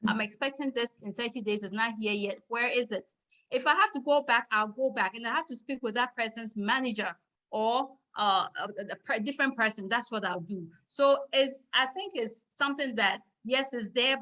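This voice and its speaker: A woman's voice: fairly high pitch, bright, forceful tone. A woman from Ghana, a business owner, speaking firmly and emphatically as a guest on a video call. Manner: firm, emphatic. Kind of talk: casual video call discussion.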